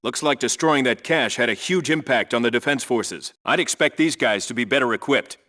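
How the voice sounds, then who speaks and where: Man acting as a bright, forceful special forces squad leader. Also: mid-range voice, a little fast, lightly acted. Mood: crisp, businesslike. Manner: authoritative, clipped, businesslike. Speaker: video game voice actor. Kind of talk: military video game voice lines, clipped radio reports and orders.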